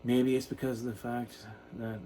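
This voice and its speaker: A man in his fifties giving an unscripted personal monologue in a halting, self-reflective way. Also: medium pitch, little pitch movement, dark tone, poor audio. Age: 50s. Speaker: video creator talking about personal issues. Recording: noticeable background noise.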